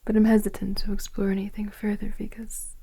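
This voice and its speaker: A woman playing a gentle, flirtatious woman in her forties in an intimate ASMR romance roleplay, speaking softly and unhurriedly in a breathy near-whisper. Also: medium pitch, monotone. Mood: flirtatious, hesitant. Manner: soft, tender, hesitant, unhurried flirting.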